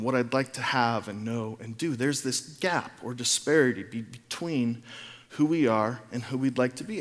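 A middle-aged man preaching as a pastor in a conversational, reflective sermon style, informal and often posing rhetorical questions. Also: medium pitch. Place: large reverberant room, speaking to a congregation. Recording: long reverb of a large room.

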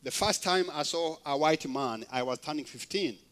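A Rwandan man in his fifties preaches a personal testimony to a church. His voice sits a little high for a man, and he speaks haltingly, pausing on fillers and slipping in gentle jokes. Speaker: guest preacher. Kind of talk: sermon testimony.

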